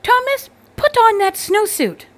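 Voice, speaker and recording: A woman's voice: very high-pitched, very animated, measured pace, fairly bright tone, voice pushed up, full character voice. A woman voicing a bossy, exasperated mother in a children's story, giving firm, commanding orders.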